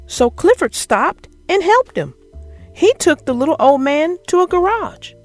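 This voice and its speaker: A woman narrating a children's picture book as an expressive, theatrical read-aloud. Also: very high voice, a little slow, soft background music.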